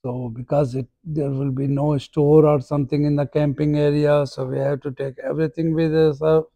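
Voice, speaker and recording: A man talks slowly through his family camping trip, describing what he sees as he films on a phone. His voice is gravelly and mellow, sitting a little high with a flat, even delivery. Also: no room sound. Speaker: vlogger. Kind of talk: casual travel vlog narration.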